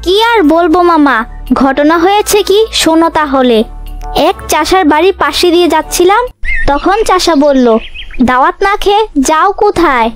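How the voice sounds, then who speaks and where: A woman's voice: extremely high pitch, lively intonation, measured pace, soft background music. A woman voicing a sly, greedy young fox in a children's cartoon, talking in a wily, dramatic, chatty way. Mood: wily, complaining. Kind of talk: children's cartoon character dialogue.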